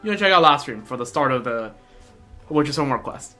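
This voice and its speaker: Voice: medium pitch, lively intonation, a little fast, bright, forceful tone, soft background music. A game streamer chatting casually, thinking aloud in short reactions over game audio. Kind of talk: casual livestream commentary.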